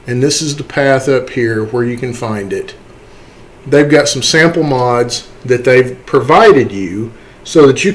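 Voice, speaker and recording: A man narrating a computer tutorial in a casual, conversational way, explaining step by step and often checking in with the listener. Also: medium pitch. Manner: conversational, explanatory, often tags points with a checking "okay" or "right". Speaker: tutorial host, game modder.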